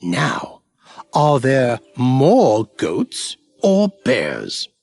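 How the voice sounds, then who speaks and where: A pompous, patient cartoon storybook narrator, speaks with grand formality. The pitch swings very widely, the pace is slow and deliberate, and the tone has a husky edge. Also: wildly swooping pitch, a little mellow, dry recording, clean studio sound, heavily acted. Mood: grand, playful.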